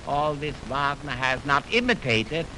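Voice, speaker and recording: Slow, theatrical 1920s radio man, noisy recording. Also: on the high side, animated, sharp tone. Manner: theatrical, florid, dramatic storyteller. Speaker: radio music program host. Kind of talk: 1920s radio music commentary, storytelling. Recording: noisy, warped 1929 radio aircheck on film.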